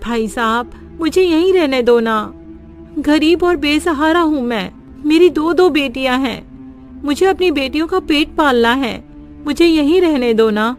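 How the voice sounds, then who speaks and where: A woman's voice: sits high, expressive, measured pace, soft background music. A woman voicing a poor widowed mother selling street food, with a plaintive, pleading way of talking.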